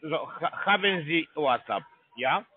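Non-native male caller, halting and repetitive, lively pitch, phone-line sound. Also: husky. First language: not German. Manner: halting broken German, repeats words. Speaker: scam call center caller. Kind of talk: phone call.

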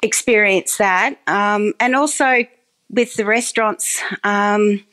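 A woman's voice: mid-range voice, slow pace, fairly bright tone. A woman speaking casually in an interview, chatty, with many ums and restarts. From Australia. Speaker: farm program coordinator.

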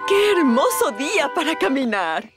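Friendly cartoon nurse, latin american spanish, high bouncy voice, music under it. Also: female voice, big pitch swings, moderate pace. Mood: cheerful. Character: cheerful, easygoing adult female nurse. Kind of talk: animated children's series dub.

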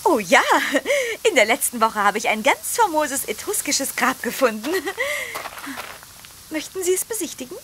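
High, animated lady poet. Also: normal speed, a little hiss or hum. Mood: eager, inviting. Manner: theatrical, well-bred, emphatic. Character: spirited lady poet and amateur archaeologist, theatrical, secretive. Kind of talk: audio drama dialogue acting.

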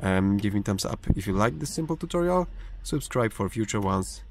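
A man's voice: fairly low pitch, expressive, normal speed, darkish tone, slight background noise. A man hosting a casual how-to tutorial from inside a parked car, explaining steadily with frequent fillers and restarts. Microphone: phone or camera held in hand, speaker off screen.

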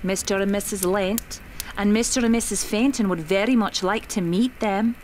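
A woman playing a polite spirit medium in an audio horror drama, speaking in a measured, formal way. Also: mid-range voice, background noise, natural voice. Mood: composed. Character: composed, polite female spirit medium leading a séance.

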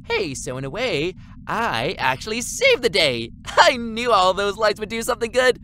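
A woman's voice: medium pitch, very animated, normal speed, fairly bright tone, a little hiss or hum. A woman playing a cheery, self-satisfied, chatty party planner in an audio drama. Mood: cheery, pleased. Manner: bubbly, chatty.

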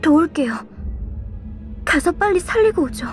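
A woman's voice: very high voice, expressive, moderate pace, faint music behind. A woman voicing a guilt-ridden young survivor in a video game. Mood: subdued.

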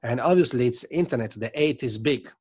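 A man in his thirties with an Italian accent, guest on a video call interview, telling stories in a lively, gesturing way from a home studio. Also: mid-range voice, a little fast, husky. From Italy. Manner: animated, gesturing, non-native phrasing. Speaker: sound system selector, guest. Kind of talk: casual interview storytelling. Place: home studio room with record shelves. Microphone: desk mic on video call.

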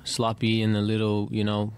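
A man in his thirties answering reporters after a game at a desk mic, halting with many fillers and restarts. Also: fairly low pitch, completely flat delivery, clear, resonant tone. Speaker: basketball player at press conference. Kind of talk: post-game press answers. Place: arena press room.

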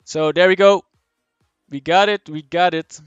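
A young man from the Netherlands with a Dutch accent, chatting casually as he streams a game, answering chat and thinking out loud. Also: sits high, fairly even intonation, measured pace, smooth, no room sound, muffled recording, faint music behind. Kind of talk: live gameplay commentary, reading chat.

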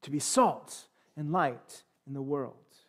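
A man in his thirties with an American accent delivers a sermon from a church pulpit, heard through a headset mic. His voice sits slightly high for a man. He swings between loud, emphatic peaks and hushed asides. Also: takes it slowly. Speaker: pastor. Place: church sanctuary, standing at a pulpit.

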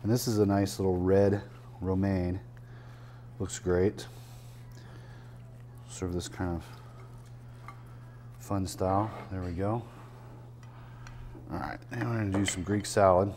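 Casting: chef host in his 60s, lowish voice, relaxed step-by-step demo patter, lapel mic in a restaurant. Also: slow, pauses often, noisy background. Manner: relaxed, chatty, explains as he works. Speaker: chef and cooking show host. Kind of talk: casual cooking demonstration. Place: restaurant dining room, indoors.

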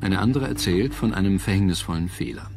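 A man narrating a scripted history documentary in a measured, dramatic storytelling manner. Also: deep voice, vocal fry, a little mellow. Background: prominent music.

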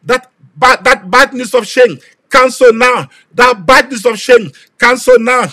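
A man preaching with a very high, bright voice, pouring out repeated declarations. He leads the prayer with fervent intensity and slips into speaking in tongues between phrases. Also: measured pace, slight background noise. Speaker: pastor.

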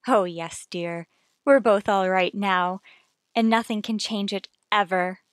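A woman's voice: mid-range voice, measured pace, smooth, no room sound, close to an everyday voice. A woman playing a devoted, gushing young wife in an old-time radio mystery. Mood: tender, gushing.

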